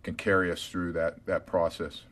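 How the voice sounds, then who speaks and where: An American man, a college football head coach, answering media questions on a conference call in measured, hesitant phrasing. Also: low voice, flat delivery, a bit bright.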